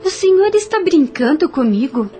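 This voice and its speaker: An actress in an audio novel playing a proud, frank but kindly young widow on a colonial farm, speaking formally and directly. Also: female voice, high voice, big pitch swings, normal speed, warm tone, soft background music. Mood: guarded, earnest.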